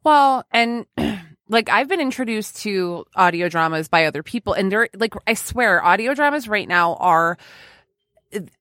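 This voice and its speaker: A woman's voice: medium pitch, lively intonation, unhurried, fairly bright tone, dry recording. Dry-sounding woman chatting casually on a podcast. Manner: chatty, informal, playful. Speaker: podcast host. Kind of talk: casual chat.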